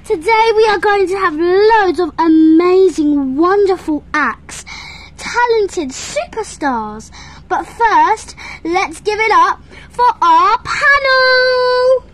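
A woman's voice: very high voice, lively intonation, takes it slowly, rough recording. A woman hosting a toy talent show skit at home in a bubbly announcer manner, on a camera mic. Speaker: show host narrator.

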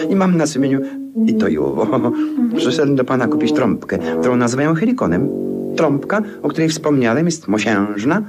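An actor playing an excitable, self-satisfied eccentric gentleman in a theatrical, flowery 1950s radio play style. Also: medium pitch, moderate pace, natural voice. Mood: cheeky. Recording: vintage 1950s radio recording, music behind.

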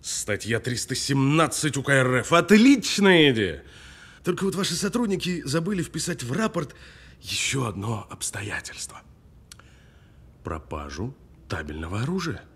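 Audio-film detainee, sardonic and unflappable, husky edge, very varied intonation, deliberate needling. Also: male voice, medium pitch, sing-song, a bit bright. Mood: mocking, menacing. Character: cool, taunting detained male actor bluffing a policeman. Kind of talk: naturalistic audio film drama acting.

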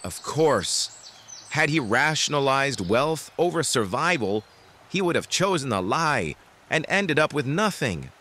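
A man's voice: mid-range voice, sing-song, a little slow, pushed and bright, heavily acted. An actor playing an earnest, inquisitive father in an audio drama.

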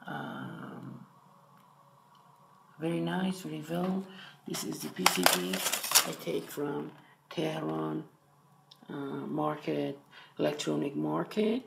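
Iranian accented guy doing a hobby tutorial, super creaky voice, echoey room w faint noise. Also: a little high. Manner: halting, short broken phrases. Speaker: electronics hobbyist presenter. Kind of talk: casual hands-on tutorial. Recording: roomy echo with faint noise.

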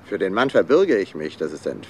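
An actor playing a courteous but easily indignant doctor, formal and assured, in a German crime radio play. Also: male voice, deep voice, big pitch swings, a little fast, darkish tone, a little hiss or hum. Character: courteous, indignant, well-spoken male doctor.

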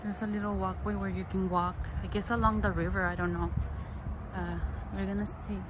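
A woman's voice: medium pitch, level tone, brisk. A woman chatting casually on a walking vlog outdoors on a handheld phone, switching between Spanish and English. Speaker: vlogger. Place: outdoors at stone ruins and a park path. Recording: noisy outdoor phone recording.

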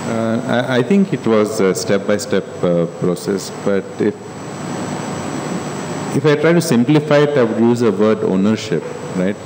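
A middle-aged man on a stage panel, speaking calmly and in a measured way into a handheld mic. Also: lowish voice. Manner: calm, measured explaining. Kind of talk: panel discussion. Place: large stage hall. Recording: echoey hall with faint hum.

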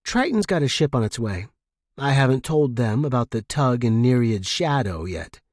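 A man voicing a guarded, resolute space outpost worker in an audio story, measured and blunt. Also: mid-range voice, big pitch swings, a little mellow, dry recording, clean studio sound. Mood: calm, calculating.

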